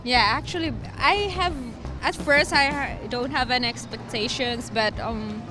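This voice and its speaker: A woman from the Philippines answering street interview questions hesitantly and softly. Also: fairly high pitch, moderate pace, over music. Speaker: tourist interviewee. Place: outdoors on a city street.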